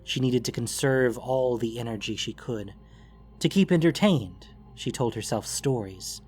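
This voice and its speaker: A man narrating a scripted fantasy story in a solemn, dramatic way with deliberate phrasing. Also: medium pitch, darkish tone, slightly rough. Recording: music bed under the voice. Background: background music.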